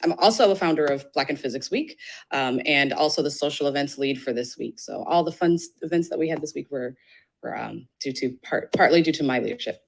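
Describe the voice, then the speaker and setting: A woman's voice: low voice, brisk, vocal fry, breathy edge. A young woman, an astronomy graduate student and business founder, introducing herself on a panel with confidence.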